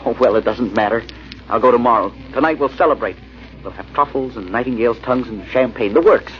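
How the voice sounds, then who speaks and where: An actor playing an earnest, haunted amateur sleuth who also narrates an old-time radio thriller, dramatic and slightly halting. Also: male voice, mid-range voice, natural voice. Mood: excited. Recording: muffled old radio with heavy hiss.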